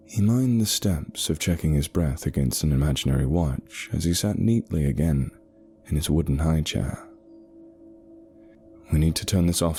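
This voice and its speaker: Very deep, dark-voiced man narrating horror. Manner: measured, suspenseful, short pauses. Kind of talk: scripted horror narration, first person. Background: eerie background music.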